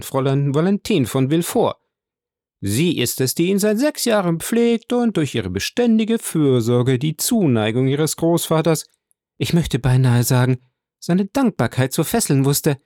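A man's voice: on the high side, very animated, normal speed, darkish tone, no room sound, studio quality, voice pushed up. A man playing a scheming, ingratiating mother. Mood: persuasive. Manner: smooth, persuasive and eager. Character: scheming, ingratiating middle-aged mother and wife. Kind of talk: audiobook character voice.

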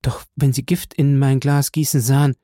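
A man voicing a frightened, feverish young woman with a gravelly voice, pleading in a high, breathless way. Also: sing-song, measured pace, dark tone, no echo, clean studio sound.

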